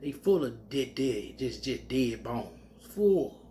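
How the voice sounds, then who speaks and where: A man teaching scripture in a loose, colloquial country way, breaking into loud whoops. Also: medium pitch, takes it slowly, a little hiss or hum. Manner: animated, bursts into loud whoops; colloquial Southern speech. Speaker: preacher.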